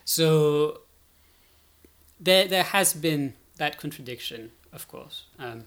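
A man's voice: a little high, lively intonation, slow, a bit bright. A man speaking as an academic guest expert, explaining politics analytically and often checking in as he goes.

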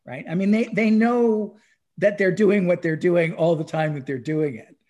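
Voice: medium pitch, moderate pace, a little mellow. A podcast speaker in casual discussion of music history, stammering, repeating words and often checking with "right?". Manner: frequently tags phrases with "right?".

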